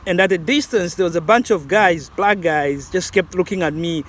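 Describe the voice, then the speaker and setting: A man's voice: sits high, normal speed, a bit bright, a little hiss or hum. A man, talking casually and reflectively in a vlog, rambling with many restarts. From Zambia. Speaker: vlogger.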